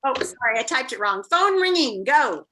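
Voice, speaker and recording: A woman's voice: high-pitched, sing-song, normal speed. A woman teacher casually leading a class game over a video call, playfully prompting and encouraging children. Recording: noticeable background noise on video call.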